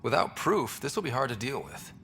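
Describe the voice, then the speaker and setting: A man's voice: wildly swooping pitch, a little fast, gravelly, fairly bright tone, exaggerated voice. A man playing an earnest young space explorer and leader in a video game. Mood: firm. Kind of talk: video game dialogue acting. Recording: faint background rumble.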